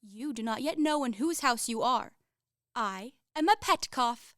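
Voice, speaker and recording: Haughty romantic young heiress for radio play, female actor: high voice, declamatory, earnest, proud, dry close recording. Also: expressive, measured pace, a bit bright, no echo.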